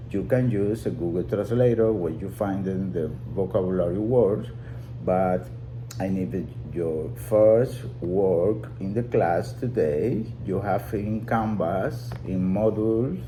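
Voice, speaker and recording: A man with a Spanish accent, a native Spanish speaker, teaching a language class in a deliberate, halting way and repeating key phrases for learners. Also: lowish voice, background noise. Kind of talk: classroom lesson explanation.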